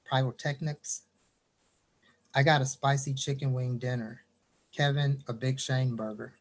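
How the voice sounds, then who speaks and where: A man's voice: medium pitch, low-quality recording. A man from Indiana, a poet, reading his poem and then chatting about his writing over a video call. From Gary, Indiana. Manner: animated storyteller, quick bursts. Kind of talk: poetry reading, then casual chat.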